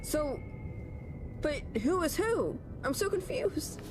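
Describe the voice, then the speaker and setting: Animated woman reacting to a game. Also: sits high, slow pace, music in the background. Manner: short exclamations and questioning asides. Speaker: gaming reaction host. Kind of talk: casual reaction commentary. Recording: clear background noise from game audio.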